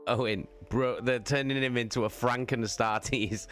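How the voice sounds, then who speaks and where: A man's voice: mid-range voice, fairly even intonation, normal speed, a bit bright, faint music behind. A man, chatting loosely through a book recap on a livestream, with fillers and the odd swear word. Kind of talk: casual book recap chat.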